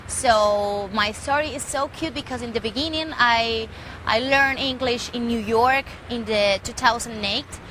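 Bright Colombian-accented young woman. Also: fairly high pitch, measured pace, slight background noise, low-quality recording. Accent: Colombian Spanish. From Colombia, Medellín. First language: Spanish. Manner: earnest, gropes for words in English. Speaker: singer. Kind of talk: casual interview.

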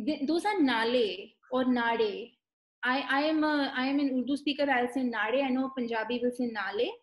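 A young woman tells stories in a high, bright register. She lingers on vivid details as she speaks. The video call audio carries a noticeable room echo. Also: normal speed. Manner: warm storyteller, lingering on striking details. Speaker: author being interviewed. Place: room with bookshelves, indoors.

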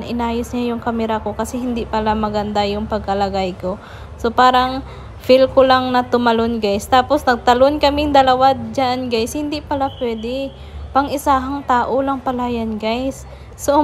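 A woman's voice: a little high, steady tone, normal speed, a little hiss or hum. A Filipino woman narrating a casual vlog, chattily addressing her viewers. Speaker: vlogger.

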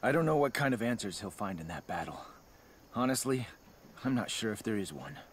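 A man's voice: on the low side, big pitch swings, fairly quick, a little hiss or hum. An actor playing a brash, good-natured male fighter and father in a video game cutscene. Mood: earnest, resolute. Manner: brash, confident.

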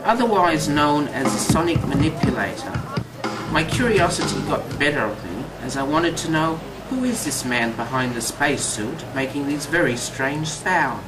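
Higher-voiced male narrator over loud music. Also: animated, normal speed. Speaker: documentary narrator. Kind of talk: scripted narration. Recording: loud music behind, distant-sounding voice.

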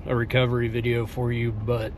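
A man in his forties with a Texan accent, filming himself on a phone while bowhunting, talking in a relaxed, plain-spoken way. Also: medium pitch, fairly quick, heavy background noise. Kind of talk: casual self-filmed hunting vlog. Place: pickup truck cab and outdoors on a farm. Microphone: phone camera at arm's length.

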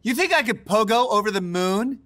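An actor voicing a lively, easily exasperated cartoon character with an expressive, melodramatic delivery. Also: mid-range voice, normal speed, background noise, lightly acted. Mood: breezy. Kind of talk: animated cartoon voice acting. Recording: music behind the voice.

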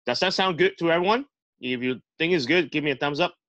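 A man's voice: on the high side, fast talker, a bit bright, no room sound, lo-fi sound. A man hosting an online club meeting over a video call, introducing panelists in an upbeat emcee manner. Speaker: host.

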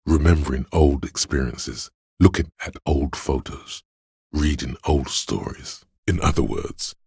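An actor playing an inner voice of cold deductive logic in a video game, measured, deliberate and dryly condescending, with a gravelly, husky voice. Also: male voice, very deep voice, darkish tone, no room sound, clean studio sound, heavily acted. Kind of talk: video game voice-over, scripted narration. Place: dry studio recording.

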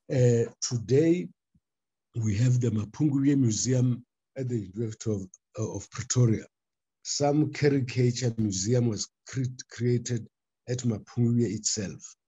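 An older man presenting a formal paper on a video call from a book-lined study, speaking deliberately with frequent pauses and fillers. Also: medium pitch, dark tone, slightly rough, dull audio. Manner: deliberate, hesitant delivery with frequent uh fillers and restarts. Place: study with bookshelves, indoors. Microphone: laptop webcam on a video call.

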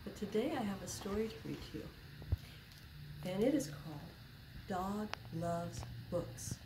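A woman in her sixties reading a picture book aloud to children, expressive and deliberate, as a library storyteller. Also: mid-range voice. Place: small public library room, indoors. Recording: roomy echo, faint background noise.

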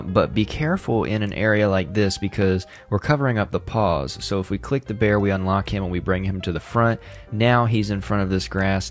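A man in his thirties hosting a design tutorial, talking in a relaxed, chatty way with fillers and light laughs into a close studio mic. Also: sits low. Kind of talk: casual screen-recorded tutorial. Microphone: studio microphone close up.

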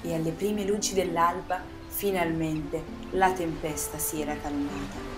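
A woman narrating a scripted children's story in an expressive, dramatic storytelling manner. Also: low voice, measured pace, rough recording. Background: music.

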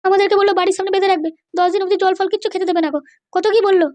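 A woman's voice: very high voice, fairly even intonation, racing, a little mellow. A woman voicing an aggrieved, scheming older mother-in-law in a comedy cartoon, complaining and scolding. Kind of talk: exaggerated cartoon dialogue.

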